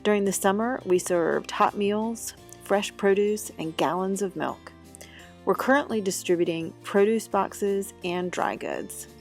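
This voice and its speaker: A woman giving a steady, informative scripted narration about a church food ministry. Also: mid-range voice, measured pace, faint music behind. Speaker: ministry volunteer.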